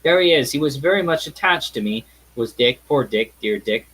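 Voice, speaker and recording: A man voicing an old miser who answers tersely and in a low voice. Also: expressive, normal speed, fairly bright tone, breathy edge, poor audio. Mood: subdued. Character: old male miser, gruff and uneasy.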